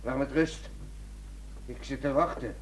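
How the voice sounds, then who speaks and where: An actor playing a distraught, confused middle-aged husband in a radio drama, pleading and fixated on the past. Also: male voice, medium pitch, fairly even intonation, normal speed, a little hiss or hum, natural voice, rough recording. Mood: fixated, withdrawn.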